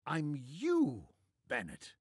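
An actor playing a smug, theatrical, easily exasperated older man from the future in an animated comedy. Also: on the high side, extremely slow, breathy edge, dry recording, clean studio sound, heavily acted. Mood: impatient. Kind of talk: animated comedy character acting.

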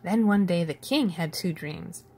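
A woman reading a children's picture book aloud in an expressive storytelling manner, with a slightly husky voice. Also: fairly low pitch, measured pace. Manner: animated, storytelling delivery. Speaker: storybook reader.

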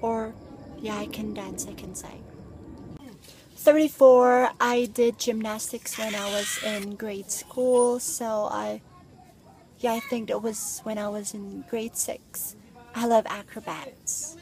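A woman's voice: on the high side, fairly even intonation, takes it slowly, darkish tone. A young woman, filming herself outdoors on a phone held at arm's length and chatting playfully through a list of facts about herself, with teasing asides and giggles. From Philippines. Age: young adult. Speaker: vlogger. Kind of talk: casual chat listing facts about herself. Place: outdoors among trees. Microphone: phone at arm's length with earphones.

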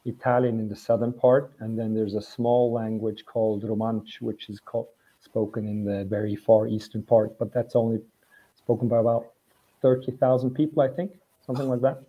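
A man from Switzerland, a native German speaker, explaining things carefully and haltingly as a guest on a video call. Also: fairly low pitch, flat delivery, dull audio. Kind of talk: casual video call chat.

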